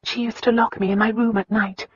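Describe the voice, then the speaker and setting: A reader voicing a gentle, earnest young woman who speaks softly and sincerely, at times in a whisper. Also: a little high, little pitch movement, fairly quick, breathy edge, no room sound, voice pushed up. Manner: soft, sincere, sometimes whispering. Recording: dull lo-fi audiobook recording.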